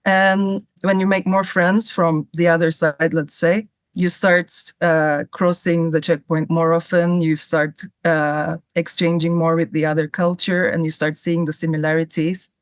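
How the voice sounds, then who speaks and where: A young woman from Cyprus, a native Turkish speaker, answering interview questions in a thoughtful, measured way. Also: fairly low pitch, flat delivery, no echo, clean studio sound. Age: young adult. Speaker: guest, travel writer.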